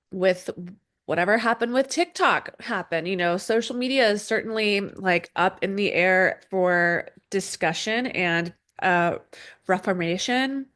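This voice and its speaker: A woman's voice: mid-range voice, fairly bright tone. Woman host, a bit stuffy with a cold, rambling casual delivery full of ums. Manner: loose and rambling, many ums and restarts. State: slightly congested, sniffly from a cold. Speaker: astrology podcast host. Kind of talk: casual solo podcast talk. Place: indoor room with dogs. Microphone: desk setup with camera and screen share.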